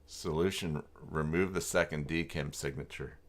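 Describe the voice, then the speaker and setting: A man hosting a casual livestream tutorial, halting and muttering to himself as he thinks aloud and reads from his screen. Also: very deep voice, steady tone. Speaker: livestream host working through website email setup.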